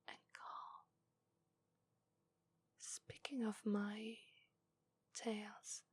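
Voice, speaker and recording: A woman whispering as a lovestruck fox spirit, breathy and Italian-accented. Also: medium pitch. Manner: soft whispering. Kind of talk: ASMR roleplay.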